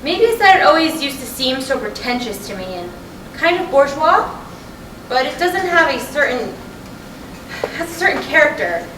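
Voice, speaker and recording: A woman's voice: sits high, very animated, moderate pace. A woman reading the part of a restless, sardonic wife in a staged play, in blunt, conversational dialogue. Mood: wistful, musing. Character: restless, sardonic wife, easily upset, nostalgic. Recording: distant mic in echoey, noisy room.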